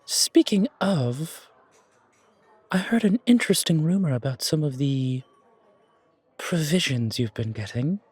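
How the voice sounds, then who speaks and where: A man voicing a refined, gracious and quietly enigmatic confidant in an audio roleplay, with polished, deliberate, theatrical phrasing. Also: on the high side, animated, husky, dry recording. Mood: sly. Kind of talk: fan audio roleplay acting.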